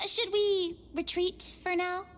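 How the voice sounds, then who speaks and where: A woman voicing a tiny childlike fairy companion in a video game, excitable, chatty and squeaky. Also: slow, a little hiss or hum. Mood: curious, chatty. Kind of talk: video game cartoon-like acting.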